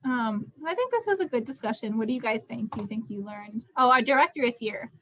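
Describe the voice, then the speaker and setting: A woman's voice: on the high side, animated, brisk, a bit bright, slight background noise. A young woman leading a casual group discussion in an emphatic, conversational way, repeating points to stress them. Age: young adult. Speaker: student theatre group discussion leader.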